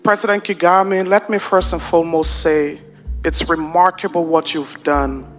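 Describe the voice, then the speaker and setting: A woman's voice: on the low side, steady tone, normal speed, faint music behind. Liberian woman speaking in an echoing hall. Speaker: audience questioner, nonprofit founder. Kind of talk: formal question at a public forum.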